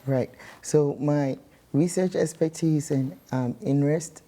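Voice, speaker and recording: A man from Ghana, a guest scientist explaining his research in measured speech with many pauses. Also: a little high, dark tone.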